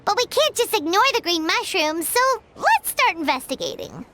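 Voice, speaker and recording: A woman voicing a tiny, childlike game companion, bossy and excitable, with a chirpy, exclamatory delivery. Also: very high-pitched, normal speed, heavy background noise. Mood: excited, alert. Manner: chirpy, exclamatory, refers to self by name. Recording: loud background game music.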